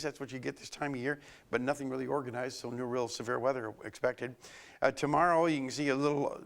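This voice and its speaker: Slightly hoarse man giving the weather. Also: on the high side. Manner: loose, rambling delivery with many fillers. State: hoarse from a cold. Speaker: weather forecaster. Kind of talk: local TV weather forecast.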